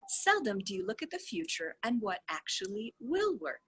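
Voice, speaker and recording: A woman with a husky, breathy voice at a middle pitch reads a book aloud as a host. Her delivery is effusive and casual, with dramatic emphasis and drawn-out words. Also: very animated, a bit bright. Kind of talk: read-along with casual asides.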